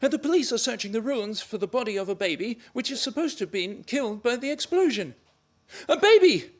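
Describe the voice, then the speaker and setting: A woman's voice: a little high, lively intonation, a little fast. A woman playing a cocky, hot-tempered man in a farcical radio play, bossy and blustering. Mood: appalled. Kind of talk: stage farce performed as radio play.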